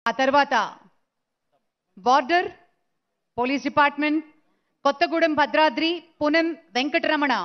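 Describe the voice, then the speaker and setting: A woman announcing recruits' names one by one at an award ceremony, in a slow, measured way. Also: high-pitched, fairly even intonation. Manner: slow, measured name-calling into a PA. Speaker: event announcer. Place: large stadium ceremony. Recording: dull lo-fi broadcast sound.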